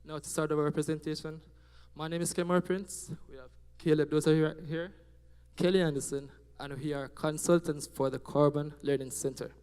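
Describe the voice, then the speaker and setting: Young Jamaican man, student presenter, animated and playful, handheld mic in a reverberant auditorium. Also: fairly high pitch, moderate pace, smooth. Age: young adult. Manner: animated, joking, checks in with the audience. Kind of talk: class presentation on stage. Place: auditorium stage. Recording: hall reverb.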